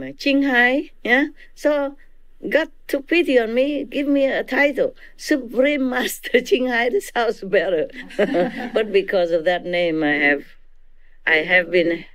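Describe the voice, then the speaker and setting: Slow, chuckling Vietnamese-accented woman. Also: fairly high pitch, dry recording. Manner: chatty, laughs often, keeps checking in with listeners. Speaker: spiritual teacher. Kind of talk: informal teaching to disciples.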